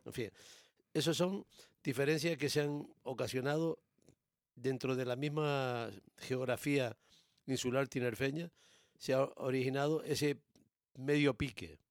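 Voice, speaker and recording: A man with a Canary Islands accent and a gravelly, creaky voice, recorded close and dry. He talks casually but with opinions, explaining things emphatically and repeating himself to make the point. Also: medium pitch, steady tone, measured pace, dry recording. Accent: Canary Islands Spanish. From born in Tenerife, raised in Gran Canaria, Canary Islands.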